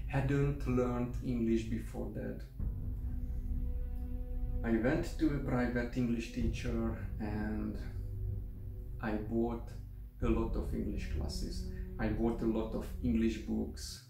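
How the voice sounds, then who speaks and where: Slow Hungarian-accented young man. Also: medium pitch, little pitch movement, vocal fry, echoey room, far from the mic, over music, rough recording. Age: young adult. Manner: halting, careful, with restarts. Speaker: vlogger. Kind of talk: casual vlog monologue. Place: room at home, indoors. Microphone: camera mic at a short distance.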